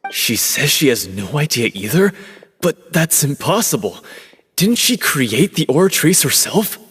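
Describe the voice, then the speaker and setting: A man's voice: a little high, sing-song, measured pace, a bit bright, big-room sound, a little hiss or hum. A man playing a skeptical courtroom onlooker in a video game. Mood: incredulous.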